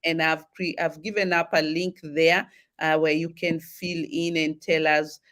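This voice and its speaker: A woman's voice: low-pitched, little pitch movement, unhurried, a bit bright. Lady hosting a video call talk show, chatty, keeps restarting.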